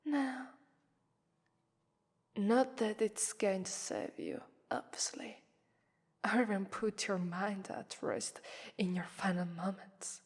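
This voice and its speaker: A woman's voice: medium pitch, steady tone. A woman with an Italian accent voicing a haughty, mocking female vampire who toys with her prey in a theatrical ASMR roleplay. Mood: amused, scornful. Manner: mocking, theatrical, drawn-out phrasing with breathy whispers. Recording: long reverberant hall echo.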